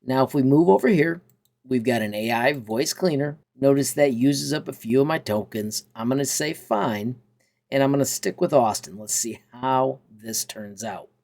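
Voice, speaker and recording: A man's voice: mid-range voice, moderate pace, creaky, darkish tone. Upbeat man hosting a tutorial. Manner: upbeat, enthusiastic. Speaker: tutorial host. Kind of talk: casual software tutorial narration.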